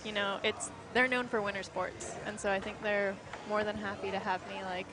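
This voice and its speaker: A woman's voice: mid-range voice, level tone, moderate pace. A young woman skater as guest commentator, talking casually and hesitantly with many fillers. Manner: hesitant, filler-heavy, lots of "like". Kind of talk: casual chat. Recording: crowd noise behind.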